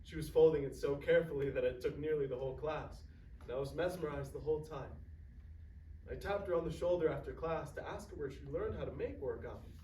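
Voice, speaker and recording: A tender, grieving grandfather played by a man in a stage play, with a slightly higher voice and halting, reflective delivery. The sound is echoey and picked up from a distance. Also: warm tone, close to an everyday voice. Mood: nostalgic, tender. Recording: echoey room, distant mic, faint noise.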